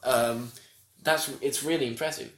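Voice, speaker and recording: A man with a nasal voice co-hosts a loose, rambling podcast chat, often breaking off and restarting his sentences. His pitch sits mid-range for a man, and the room around him rings with echo. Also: fairly even intonation, unhurried. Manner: rambling, self-interrupting, laughs often. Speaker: podcast co-host. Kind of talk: casual chat. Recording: echoey room sound.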